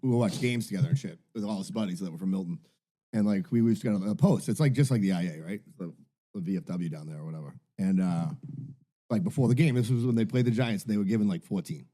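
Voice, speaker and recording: A man's voice: on the low side, fast talker, dark tone. A man, co-hosting a podcast, rambling through a story with lots of fillers and swearing. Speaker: podcast co-host. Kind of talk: casual chat.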